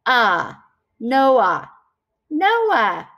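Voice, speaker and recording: A woman's voice: fairly high pitch, wildly swooping pitch, very slow, a bit bright, exaggerated voice. A woman voicing a toddler girl who is just learning to talk in short babbled baby words. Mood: playful.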